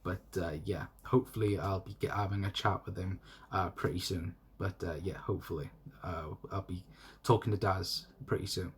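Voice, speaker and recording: A man's voice: low voice, fairly even intonation, normal speed, breathy edge. A young man from South Wales with a South Welsh accent, hosting a solo fan livestream and reading chat comments in a rambling, repetitive, friendly way. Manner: rambling, repeats himself, friendly to chatters. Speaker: fan channel host.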